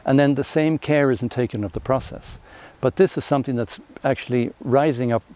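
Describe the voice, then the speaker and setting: A man's voice: mid-range voice, steady tone, a little fast, warm tone. A man, a sculptor, explaining his work in a documentary interview in a reflective, loose way.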